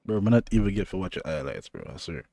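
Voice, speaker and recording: A man's voice: low voice, sing-song, quick pace, gravelly, mellow, no room sound, clean studio sound. A young man with a Jamaican accent co-hosting a podcast, talking football in a laid-back, rambling way. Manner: laid-back, rambling, loose patois phrasing. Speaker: podcast co-host. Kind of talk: casual football chat.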